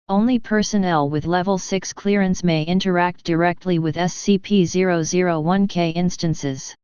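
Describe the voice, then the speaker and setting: Smooth, crisp woman narrator, slightly low. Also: a little mellow, no echo, dull audio. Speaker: narrator reading a fictional archive document. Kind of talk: scripted narration, formal document reading.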